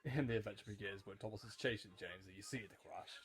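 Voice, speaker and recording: A man's voice: on the low side, fast, breathy edge. A man of about twenty giving casual, rambling, opinionated commentary on a show as he watches it, often breaking off mid-thought. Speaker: YouTube commentator on a children's TV series. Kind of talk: casual rewatch commentary, off the cuff.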